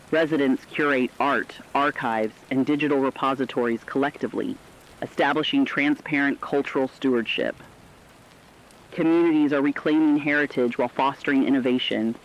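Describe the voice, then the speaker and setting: A man's voice: mid-range voice, lightly acted. Man as an earnest radio correspondent, muffled sound. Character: earnest radio news correspondent in a dystopian broadcast. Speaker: radio news co-anchor. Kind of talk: scripted radio news narration.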